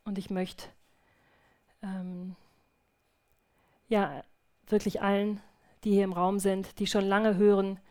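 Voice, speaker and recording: A woman speaks at a church service in an earnest, reflective way, often pausing and restarting as she searches for words. Her voice sits at an ordinary middle pitch. Her testimony turns into a quiet, soft-spoken prayer. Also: level tone.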